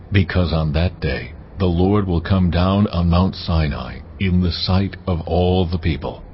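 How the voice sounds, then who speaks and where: An actor playing God as a commanding, almighty male voice, speaking in a solemn and measured way in a dramatized Bible reading, with orchestral music behind. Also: low voice, a little hiss or hum. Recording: muffled audio under orchestral music.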